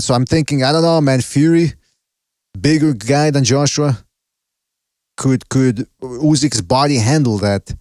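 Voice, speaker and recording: Male podcast host, casual and profane, dry close mic, mid pitch. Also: no room sound. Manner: casual and profane, thinking out loud. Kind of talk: casual boxing podcast chat.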